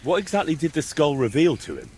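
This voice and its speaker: An actor playing a calm young man in a medieval game, questioning people in a measured, dryly mocking, insistent way. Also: a little high, slight background noise. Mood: firm, accusing. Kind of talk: video game dialogue acting.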